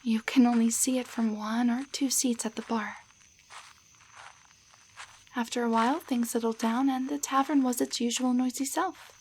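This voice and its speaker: A woman playing a timid young waitress shrunk into a doll, in a roleplay audio drama, speaking in a halting, stammering way. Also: fairly high pitch, steady tone, a little mellow, a little hiss or hum, natural voice, low-quality recording. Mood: shaken, recounting.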